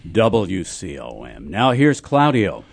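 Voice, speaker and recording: Lively low-voiced man presenting on radio. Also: takes it slowly, vocal fry, dry recording, clean studio sound. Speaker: radio announcer and co-host. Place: radio studio.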